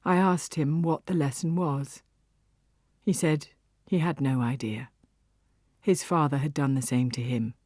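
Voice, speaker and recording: A woman reading a first-person literary story as an audiobook narrator, with a husky voice. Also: deep voice, fairly even intonation, unhurried, darkish tone, no room sound, clean studio sound.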